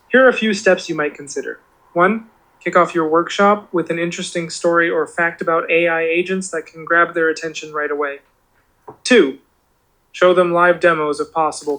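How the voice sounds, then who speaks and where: A man's voice: a little high, fairly bright tone, poor audio. A synthetic male voice clone acting as a chirpy, eager-to-please AI assistant, played through a laptop speaker in a meeting room. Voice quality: cloned voice sounding like the presenter. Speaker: AI voice agent. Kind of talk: conversational AI phone agent.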